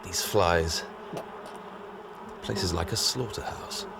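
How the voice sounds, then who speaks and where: An actor playing a brisk, authoritative police inspector in a radio drama. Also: male voice, sits low, background noise, full character voice. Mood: stern. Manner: crisp, commanding.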